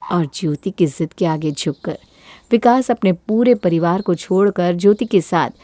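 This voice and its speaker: A woman's voice: on the low side, moderate pace, warm tone, no echo, clean studio sound. A woman narrating a scripted moral story as a storyteller.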